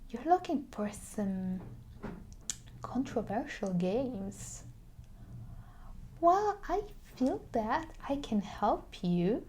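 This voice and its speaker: Soft, slow-talking woman in ASMR roleplay. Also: a little high, sing-song, a little hiss or hum. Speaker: ASMR creator playing a video game shop clerk.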